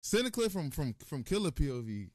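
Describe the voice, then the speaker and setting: A man's voice: a little high, sing-song, fairly quick, background noise. A man chatting casually during gameplay in profane, repetitive slang.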